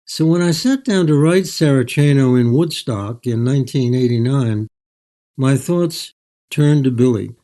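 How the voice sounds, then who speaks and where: An older man telling stories as an author in an interview, in a measured, anecdotal way. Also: medium pitch, warm tone. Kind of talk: storytelling in an interview.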